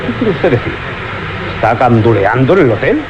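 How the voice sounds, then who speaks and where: A man's voice: medium pitch, sing-song, normal speed, natural voice. Impatient commissioner, male actor, radio drama: commanding, brusque, very varied intonation, noisy muffled recording. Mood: irate. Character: stern, impatient male police commissioner giving orders. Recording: dull radio recording, clear background noise.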